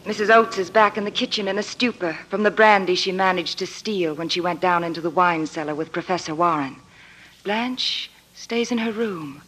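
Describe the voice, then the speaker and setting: A woman's voice: on the low side, moderate pace, fairly bright tone, a little hiss or hum, close to an everyday voice, low-quality recording. An actress playing a timid, lovelorn young woman's inner voice in a radio drama, earnest and breathless. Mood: wistful. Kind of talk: radio drama acting, inner-monologue narration.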